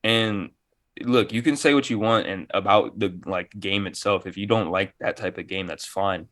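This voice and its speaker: A man's voice: fairly low pitch, fairly even intonation, normal speed, fairly bright tone. A man in casual, profane podcast banter, ranting with sarcasm and exasperation. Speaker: gaming podcast panelist.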